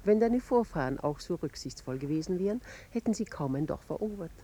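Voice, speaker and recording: Mellow, coolly sardonic noblewoman. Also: lowish voice, expressive, fairly quick, slightly rough. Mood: needling irony. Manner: dry, composed, ironic. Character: cool, sardonic noblewoman, drily needling her husband. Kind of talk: 1950s German radio play acting. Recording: 1950s radio recording, faint noise.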